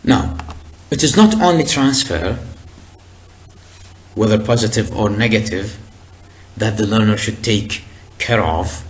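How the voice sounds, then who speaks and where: Slow, hesitant Arabic-speaking man lecturing. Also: on the low side. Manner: hesitant, frequent uh fillers and restarts; deliberate, explanatory. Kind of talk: recorded lecture.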